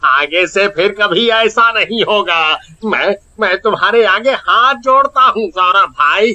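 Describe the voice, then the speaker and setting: Shrill, scheming cartoon sweet-seller. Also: male voice, bright, forceful tone, heavily acted. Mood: pleading. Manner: whining, exaggerated, drawn-out delivery. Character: scheming, jealous village sweet-seller, henpecked husband. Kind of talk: Hindi cartoon voice acting.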